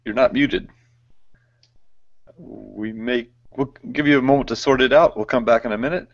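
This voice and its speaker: A man hosting a video chat, calling on people in a relaxed, gently directing way. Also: medium pitch, moderate pace, creaky. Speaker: chat host. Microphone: video call. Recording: dull lo-fi call audio.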